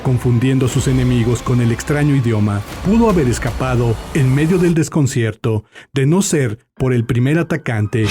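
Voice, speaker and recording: A man reading dramatic scripted audiobook narration. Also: mid-range voice. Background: background music.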